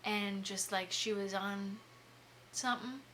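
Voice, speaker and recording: Candid, bright-toned Southern woman. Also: medium pitch, fairly even intonation, a little hiss or hum. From Southern United States. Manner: candid, opinionated, quick to laugh. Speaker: book podcast co-host. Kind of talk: casual chat.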